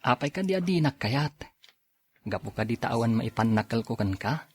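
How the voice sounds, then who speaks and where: A man's voice: medium pitch, lively intonation, normal speed, husky. A man playing an earnest young provincial suitor in a radio drama, speaking with an Ilocano accent. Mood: pleading. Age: young adult. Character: earnest 24-year-old provincial man courting an older woman.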